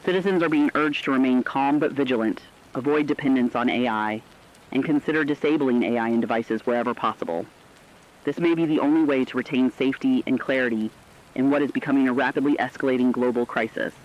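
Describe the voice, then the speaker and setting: A man's voice: mid-range voice, quick pace, lightly acted. Muffled radio audio, guy playing an earnest newsreader, medium voice, formal and exact like an emergency bulletin. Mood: serious, urgent. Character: serious radio news anchor giving emergency advisories. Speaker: radio news co-anchor. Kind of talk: scripted radio news broadcast. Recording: dull lo-fi radio sound.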